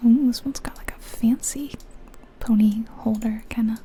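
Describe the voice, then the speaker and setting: Softly whispering woman, ASMR style. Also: on the high side, steady tone, normal speed, a little hiss or hum. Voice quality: breathy unvoiced whisper. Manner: gentle, chatty musing. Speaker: ASMR video host. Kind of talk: ASMR whispering, casual game commentary.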